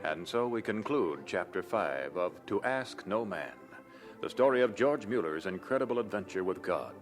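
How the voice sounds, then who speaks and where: A male announcer with a fairly low voice narrates a scripted radio drama sign-off. Faint organ music plays behind him. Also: animated, normal speed.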